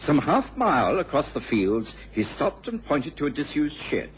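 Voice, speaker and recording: A genteel, earnest gentleman who assists a detective and tells of the cases. His pitch moves freely as he speaks in an expressive radio drama style. The recording is dull and old, with a faint hiss. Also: normal speed. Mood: measured, grave. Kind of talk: radio drama narration and acting.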